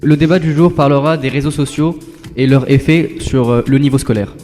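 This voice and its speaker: Dark-voiced young man hosting school radio. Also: mid-range voice, brisk, long reverb tail, soft background music. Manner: steady, polite presenter, thanks each guest. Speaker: school web radio host. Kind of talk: reading scripted show links.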